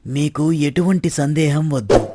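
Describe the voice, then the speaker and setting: A man's voice: a little high, unhurried, very noisy, natural voice. A man playing a loyal, conspiring royal minister, deferential and emphatic in reassuring his queen.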